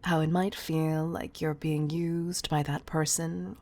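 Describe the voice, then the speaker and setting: A woman performs a stern female boss in an audio roleplay. Her voice sits low and she takes her time, stressing words with clipped exasperation. She sounds commanding and used to being obeyed. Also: flat delivery, a little mellow. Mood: firm, understanding. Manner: exasperated, clipped, deliberate emphasis. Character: stern, commanding female CEO scolding an employee. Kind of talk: scripted audio roleplay monologue.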